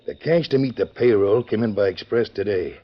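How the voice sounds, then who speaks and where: An actor playing a tough, vengeful Western outlaw gang leader in 1940s radio style, talking in rough folksy frontier speech. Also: male voice, fairly low pitch, a little fast, close to an everyday voice. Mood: scheming, resolute. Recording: dull vintage 1940s radio recording.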